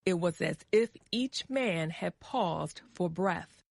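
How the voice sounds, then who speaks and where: A woman with an American accent narrating a story in a measured, carefully enunciated way for English learners. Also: on the low side, lively intonation, vocal fry, a little mellow, dry recording, studio quality.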